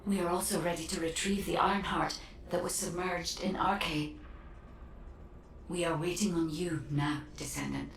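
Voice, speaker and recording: A woman playing a calm, solemn guiding ally in a sci-fi video game, speaking in a measured, earnest way. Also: lowish voice, creaky. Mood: solemn resolve. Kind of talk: scripted video game dialogue. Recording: echoey, distant, noisy game ambience.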